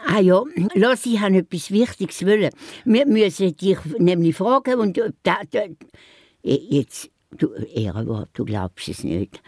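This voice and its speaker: A woman's voice: mid-range voice, a little slow, no room sound. Children's audio drama: a chatty, naive female mouse with a Swiss accent, leisurely pace, very dry studio sound. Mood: eager, pleading. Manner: eager, questioning, stammering in excitement. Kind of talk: children's radio play acting.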